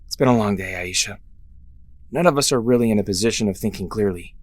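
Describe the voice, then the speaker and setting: A man acting a cautious, level-headed young superhero in an audio drama, measured and sincere. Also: fairly low pitch, lightly acted. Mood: cautious, weary.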